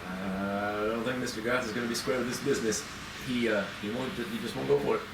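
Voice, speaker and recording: A man's voice: medium pitch, fairly even intonation, fast, close to an everyday voice. A man playing a guarded, flustered groundsman in his fifties in an amateur screenplay table read. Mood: nervous, evasive. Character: nervous, evasive 55-year-old male manor groundsman. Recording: echoey, distant mic, clear noise.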